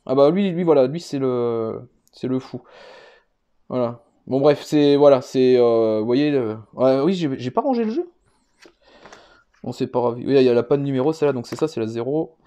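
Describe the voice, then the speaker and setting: A man's voice: mid-range voice, lively intonation, normal speed, dark tone. A man giving a casual, unscripted show-and-tell as a vlogger, speaking hesitantly and rambling, often repeating himself. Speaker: vlogger presenting a card collection.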